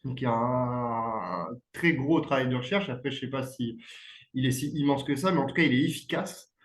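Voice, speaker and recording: A man hosting an interview, asking questions in a halting way with many fillers. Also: medium pitch. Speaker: interview host. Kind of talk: casual interview. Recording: echoey room.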